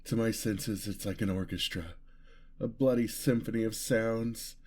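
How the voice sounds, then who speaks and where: A man's voice: on the low side, steady tone, darkish tone. A man voicing a bloodthirsty, seductive vampire in an ASMR fantasy roleplay, savouring his words with theatrical menace. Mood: hungry, seductive. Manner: slow, savouring, breathy menace.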